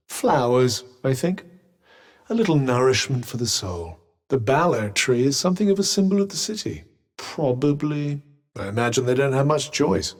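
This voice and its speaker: A man's voice: medium pitch, a little mellow. An actor playing a sarcastic, condescending male talking bracelet in a game, speaking in dry, drawling asides. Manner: drawling, faintly mocking asides. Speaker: game character. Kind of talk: game voice lines.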